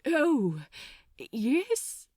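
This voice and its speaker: A woman plays a fussy gentleman angel in a fantasy audiobook. He is prim, anxious and terribly polite, with swooping, animated intonation. The recording is dry and intimate. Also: high-pitched, extremely slow, no room sound, voice pushed up, exaggerated voice. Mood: flustered, deferential. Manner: flustered, prim, old-fashioned politeness.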